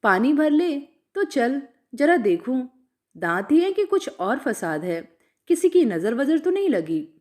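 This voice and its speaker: A woman voicing a sharp-tongued ageing village widow in a storybook reading, blunt, probing and rustic in manner. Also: high-pitched, big pitch swings, measured pace. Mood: concerned.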